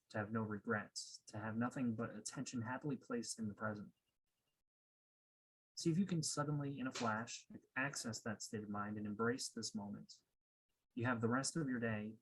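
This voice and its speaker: A man leading a guided meditation as a coach, speaking in a calm, unhurried way with pauses. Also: lowish voice, slightly rough, low-quality recording.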